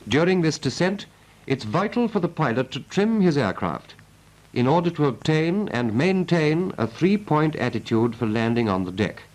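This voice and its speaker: A man's voice: fairly high pitch, very animated, normal speed, a little mellow, rough recording. A British man narrating a 1940s military training film as an instructor, in a clipped, methodical and instructive manner.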